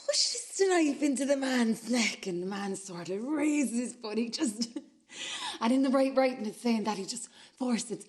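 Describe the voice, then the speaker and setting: An actress playing a shaken, obsessive witness, delivering an intense and halting radio drama monologue with repeated phrases. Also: female voice, on the high side, animated. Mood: agitated. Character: shaken, obsessive witness recounting violent footage.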